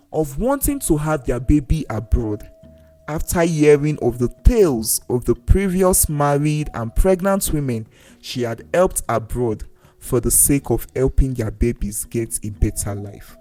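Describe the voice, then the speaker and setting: A storyteller narrating a scripted folktale in a deliberate, dramatic way, with pauses between phrases. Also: mid-range voice, expressive, mellow. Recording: voice over faint music. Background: faint background music.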